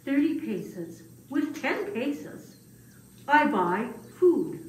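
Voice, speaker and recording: A woman voicing a gentle, humble old male grass cutter in a puppet show, speaking hesitantly and getting easily flustered. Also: fairly high pitch, big pitch swings. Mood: genial, storytelling. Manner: hesitant, halting, with many pauses. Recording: roomy, distant mic, faint noise.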